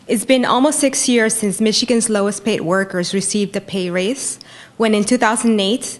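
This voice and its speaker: Woman from Peru, debater at a lectern, earnest and emphatic, mid-pitched voice. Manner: earnest, emphatic, repeating key phrases. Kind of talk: prepared debate speech. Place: university lecture hall.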